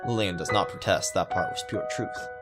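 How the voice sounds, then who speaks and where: Low-voiced man reading over loud piano. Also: brisk. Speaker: video game playthrough host. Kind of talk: reading visual novel aloud with commentary. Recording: loud piano music behind. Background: piano game music.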